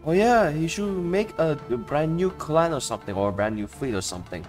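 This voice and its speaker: A man's voice: fairly high pitch, lively intonation, a little fast, a little mellow, soft background music. A man giving casual gameplay commentary as a streamer, offhand and reacting aloud. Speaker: game streamer.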